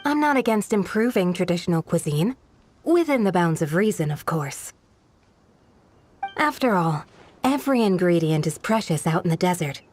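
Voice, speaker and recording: An actress playing a dutiful, composed female village guardian in a video game dub, speaking in a measured, proper and earnest way. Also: on the low side, expressive, darkish tone.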